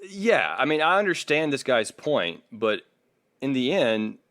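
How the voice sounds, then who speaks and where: A man's voice: medium pitch, big pitch swings, unhurried, a bit bright, no echo, studio quality. A man co-hosting a casual podcast, reading from articles and arguing his points in an opinionated way. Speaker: podcast co-host.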